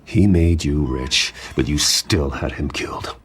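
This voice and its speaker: A man's voice: rumbling low, a little slow. An actor playing a brooding, resentful wealthy man in a video game, with a stern, guarded manner. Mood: angry, resentful. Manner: stern, guarded, quietly threatening. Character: brooding, wealthy orphaned heir, controlled and resentful. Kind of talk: dramatic video game acting. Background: music.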